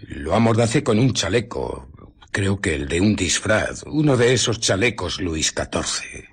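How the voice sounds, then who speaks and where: An actor playing a ruthless, irritable fugitive scientist in a radio drama, husky and often dropping to a whisper as he recounts and justifies his deeds. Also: deep voice, sing-song, measured pace, exaggerated voice. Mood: cold, self-justifying. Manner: dramatic, brooding, self-justifying. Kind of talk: radio drama narration and dialogue.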